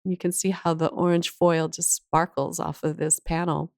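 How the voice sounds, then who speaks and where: A woman's voice: deep voice, level tone, normal speed, vocal fry, darkish tone, no echo, clean studio sound. A woman hosting a casual craft tutorial voice-over, chatty and enthusiastic, her voice slightly off from a cold and cough. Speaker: craft channel host.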